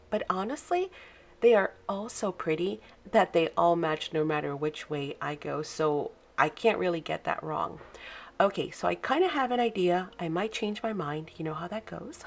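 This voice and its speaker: Craft host: woman, low froggy morning voice, chatty how-to delivery, some vocal fry. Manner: chatty, friendly step-by-step instruction with fillers. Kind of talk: casual craft tutorial. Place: home craft desk, early morning.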